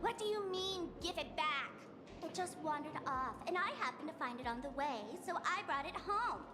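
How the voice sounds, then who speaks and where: A woman playing a sly, breezy, teasing young woman in a video game, with a playful, expressive delivery. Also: high voice, normal speed, in a large hall, loud music behind the voice. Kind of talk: video game story voice acting.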